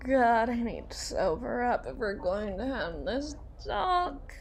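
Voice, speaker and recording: A woman's voice: a little high, big pitch swings, takes it slowly, faint music behind, heavily acted. A woman performing an ASMR roleplay as a drunken, teasing, domineering female boss, rambling and stumbling over her words. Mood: giddy, tipsy.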